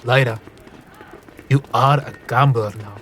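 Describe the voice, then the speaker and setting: An actor playing a seasoned, wry gambler mentor in a fantasy audio drama, colloquial and instructive. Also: male voice, mid-range voice, measured pace, fairly bright tone, faint music behind, close to an everyday voice. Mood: serious, mentoring.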